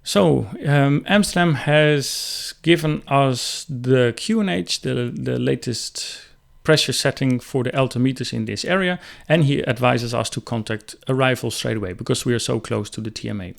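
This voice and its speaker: Man, thirties, medium pitch, gravelly fry, instructional pilot commentary, close desk mic. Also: moderate pace. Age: 30s. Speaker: helicopter pilot explaining radio calls. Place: small room indoors. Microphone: close desk microphone.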